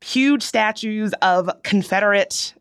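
A young woman from Virginia co-hosting a podcast, chatting casually and giving animated, opinionated takes. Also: medium pitch, smooth. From Virginia, US South. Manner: animated, opinionated, drawn-out storytelling. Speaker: podcast co-host. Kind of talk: casual chat.

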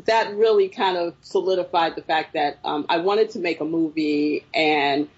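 A woman's voice: deep voice, normal speed, husky, muffled recording. A woman filmmaker answering interview questions, telling her story reflectively with frequent fillers. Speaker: filmmaker guest.

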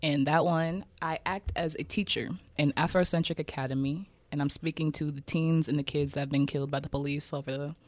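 A man's voice: fairly high pitch, steady tone, brisk, hardly any pauses. A man in his early twenties with an American accent, talking casually and candidly as an interview guest. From Kansas City, United States. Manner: candid, informal, swearing freely. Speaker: interviewed poet and musician.

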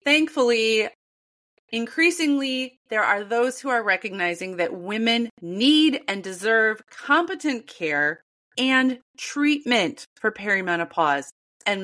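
Animated woman in her fifties. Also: medium pitch. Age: 50s. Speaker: podcast co-host. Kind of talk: casual podcast chat and read ad.

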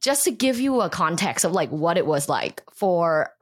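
A woman's voice: on the low side, big pitch swings, slightly rough. Candid woman from Thailand, chatting. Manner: candid, quick, laughs easily. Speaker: podcast guest, sex educator. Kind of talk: casual podcast chat.

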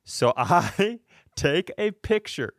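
A man's voice: fairly high pitch, big pitch swings, slow pace, no room sound, studio quality. Mock-serious man ranting on a comedy podcast. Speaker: podcast co-host. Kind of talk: casual comedic banter, storytelling.

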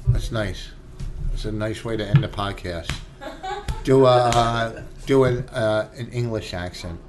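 A man's voice: on the low side, measured pace, background noise. A man co-hosting a comedy podcast, teasing and prodding in casual banter.

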